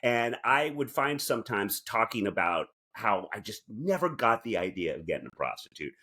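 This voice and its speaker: A middle-aged man co-hosting a podcast in casual comedic chat, rambling and joking with halting asides. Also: lowish voice, very animated, pushed and bright. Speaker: podcast co-host.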